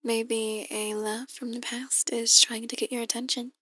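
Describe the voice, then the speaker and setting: A woman playing a soft-spoken, ominous demon tarot reader in an ASMR roleplay, speaking in a measured, breathy near-whisper. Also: fairly high pitch, level tone, dry recording, exaggerated voice. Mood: calm, solemn. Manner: slow, measured, gently ominous. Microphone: close, dry microphone.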